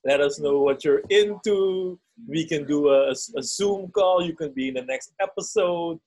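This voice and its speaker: Husky joking podcast man. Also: on the high side, normal speed, darkish tone. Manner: joking, laughs at own jokes. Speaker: podcast co-host. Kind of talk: casual podcast chat.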